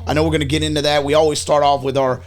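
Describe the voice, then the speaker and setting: A man's voice: on the high side, little pitch movement, rapid-fire, faint music behind. A man co-hosting a podcast in casual group chat, talking in an informal, jokey, profane way. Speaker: podcast host.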